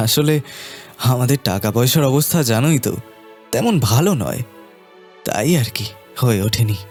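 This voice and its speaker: A man voicing a worried, gentle village farmer in a cartoon, hesitant. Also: mid-range voice, animated, unhurried, long pauses, faint music behind, lightly acted. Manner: anxious, hesitant, repeating words. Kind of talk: cartoon voice acting.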